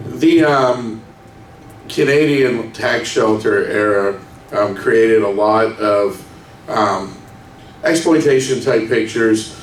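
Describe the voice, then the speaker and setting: Man, panel host, relaxed, filler-heavy, distant mic, roomy hall, faint noise. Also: on the low side, slow. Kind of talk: convention panel Q&A. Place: convention hall stage.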